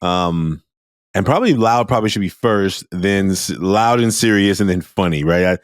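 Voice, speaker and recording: A male teacher with a loud voice, talking candidly and telling lively stories in a conversation over a video call. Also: deep voice, moderate pace. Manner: animated storyteller, acts out quoted students.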